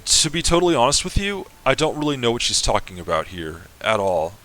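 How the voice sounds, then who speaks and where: A man's voice: mid-range voice, sing-song, fairly quick, bright, forceful tone. A man reading the lines of an apologetic, chatty female ship AI from a game. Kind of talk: semi-dramatic reading of a game.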